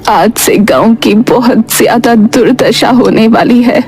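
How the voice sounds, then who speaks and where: Grave, high-pitched dying old grandmother, acted by a woman. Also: lightly acted. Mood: grave, ominous. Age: older. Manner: halting, repeats phrases ominously. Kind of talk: dramatic cartoon story voice acting. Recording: music behind the voice.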